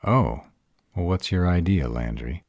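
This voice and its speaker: A man playing an arrogant, protective vampire lover in a roleplay audio drama, husky and deliberate. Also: animated, a little mellow, dry recording, clean studio sound. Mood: puzzled, serious. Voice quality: husky, very deep voice. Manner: haughty, deliberate delivery.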